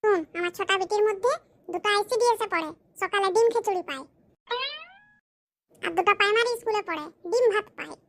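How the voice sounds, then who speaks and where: A performer voicing a boastful, scheming village man in a cartoon, with a smug, slow, explaining manner. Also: very high voice, a bit bright. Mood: bragging. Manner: smug, bragging, explains his schemes slowly. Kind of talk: cartoon comedy voice acting.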